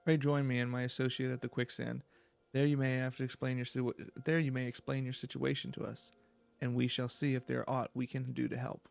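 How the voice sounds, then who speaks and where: A man's voice: medium pitch, little pitch movement, brisk, vocal fry, faint music behind, natural voice. A man voicing a smug, silver-tongued young rich nobleman from a fantasy game, in haughty, formal, eloquent speech. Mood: courteous, self-assured. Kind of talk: reading video game dialogue in character.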